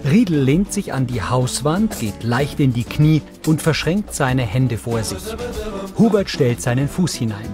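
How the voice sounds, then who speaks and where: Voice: mid-range voice, very animated, unhurried, music in the background. A narrator reading scripted audio description for a television series.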